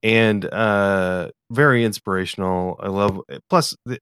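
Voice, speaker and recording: A man from the US Pacific Northwest with an American accent, hosting a casual podcast interview in a halting, rambling way. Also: on the low side, fairly bright tone, clean tone, no room sound, clean studio sound. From US Pacific Northwest, eastern Washington. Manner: halting, rambling, hesitant delivery.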